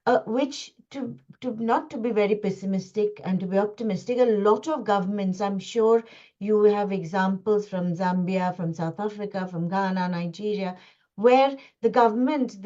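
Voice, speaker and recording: A woman from India giving an earnest webinar talk over a video call, halting and full of restarts and fillers. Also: mid-range voice, warm tone. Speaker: guest speaker. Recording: dull lo-fi call audio.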